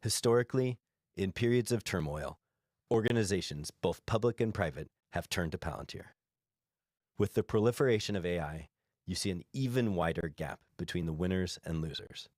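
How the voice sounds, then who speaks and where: A man with a low-pitched voice reads prepared remarks as a company executive on an earnings call. His delivery is formal and measured, and the sound is dry, with very little room around it. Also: animated, darkish tone, no echo. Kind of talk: scripted earnings call presentation.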